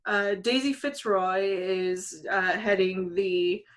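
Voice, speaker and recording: A woman's voice: mid-range voice, steady tone, takes it slowly. A woman talking casually as a panelist on a conversational podcast.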